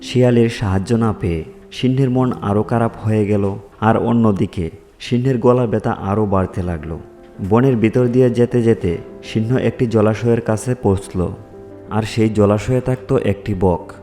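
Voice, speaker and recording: Guy narrating a kids fable, lowish mellow voice, some music under it. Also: moderate pace. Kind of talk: scripted fairy-tale narration.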